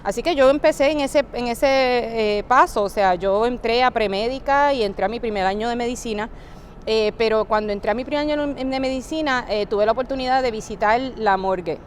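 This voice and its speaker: A middle-aged woman from Puerto Rico shares anecdotes in a relaxed interview, with a fairly high voice. Faint noise sits behind her. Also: brisk. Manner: lively anecdotal, frequent fillers, laughs. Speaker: NASA researcher, guest. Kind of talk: casual interview storytelling.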